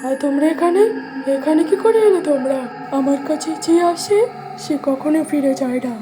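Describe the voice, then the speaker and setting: A woman's voice: high-pitched, moderate pace, heavy background noise, close to an everyday voice. A woman voicing a menacing, taunting witch ghost who devours travellers in a cartoon story. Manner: threatening, taunting, scolding.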